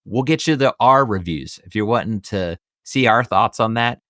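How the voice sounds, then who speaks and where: Male podcast host, thirties, low voice, dry close sound, rambling and emphatic in casual review chat. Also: lively intonation, moderate pace, a bit bright, no room sound.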